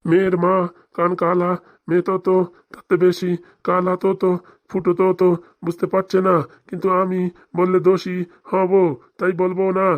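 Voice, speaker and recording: Man playing a sing-song, sly village priest, flat-toned. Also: high voice, normal speed, pauses often, lo-fi sound, close to an everyday voice. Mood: sly muttering. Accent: Bengali, rural dialect. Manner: sing-song mock-solemn chanting. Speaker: wedding priest. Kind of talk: comic cartoon voice acting with mock mantra chanting.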